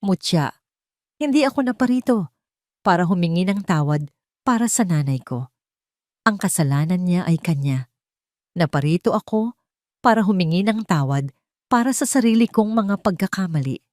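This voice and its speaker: A woman's voice: fairly low pitch, animated, normal speed, pauses often, a little mellow, no echo, studio quality, natural voice. Woman voicing a humbled, earnest young Filipino man. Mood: earnest, remorseful. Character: humbled young male billionaire, earnest and remorseful.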